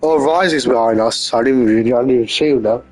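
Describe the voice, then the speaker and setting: A man's voice: medium pitch, fairly quick, darkish tone, soft background music, poor audio. A man giving casual, off-the-cuff commentary as he plays a video game. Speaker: gamer streaming a playthrough.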